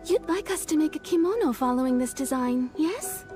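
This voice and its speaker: Courteous tailor shopkeeper. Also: female voice, high voice, lively intonation. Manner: courteous, measured, businesslike. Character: courteous adult female shopkeeper, a businesslike tailor. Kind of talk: game voice acting. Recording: game audio with faint background music.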